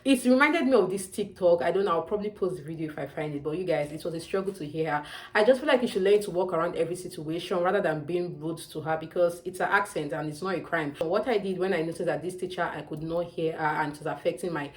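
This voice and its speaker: A woman's voice: on the low side, fast talker. A young woman with a Nigerian accent, talking to camera in a chatty advice vlog and often calling out to her viewers. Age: young adult. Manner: chatty, emphatic, often calls out "you guys". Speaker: student vlogger.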